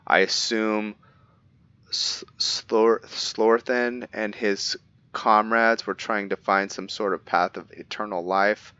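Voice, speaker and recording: A man voicing a brooding male vampire assassin who reads out his journal in a measured way, sometimes stumbling over words. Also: lowish voice, steady tone, pushed and bright, smooth, no echo, dull audio. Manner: measured, stumbles now and then over words while reading. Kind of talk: reading in-character journal entries for a game roleplay.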